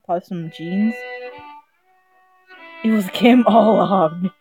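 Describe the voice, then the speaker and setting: A woman's voice: medium pitch, takes it slowly, vocal fry, a little mellow, faint music behind. A woman streaming a visual novel, reading it aloud casually, often stumbling over the words in a giggly way. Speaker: game streamer.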